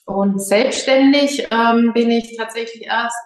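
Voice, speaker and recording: A yoga teacher from Lower Franconia, talking as a guest in a hesitant, slightly nervous way with many fillers. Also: female voice, slow. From Lower Franconia, Germany. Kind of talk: casual interview. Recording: echoey room.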